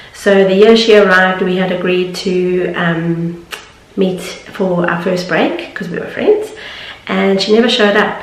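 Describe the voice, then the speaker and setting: A woman, slightly nasal from hay fever, talking earnestly and chattily to her viewers. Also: on the low side. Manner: chatty, earnest, laughs easily. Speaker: vlogger and confidence coach. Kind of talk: casual talk to camera. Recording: echoey room.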